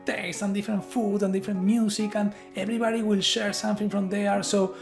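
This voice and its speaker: Middle-aged Argentine man, Spanish-accented English, earnest and halting conference speaker, slightly high voice, faint music underneath. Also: flat delivery, gravelly. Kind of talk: presentation talk.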